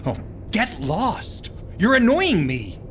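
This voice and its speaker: An irritable, sarcastic game scientist with a high male voice that swings theatrically up and down. He is dismissive and moody, drawing his words out slowly to needle the listener. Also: wildly swooping pitch, slight background noise, heavily acted. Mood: irritated, dismissive. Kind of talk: video game cutscene acting.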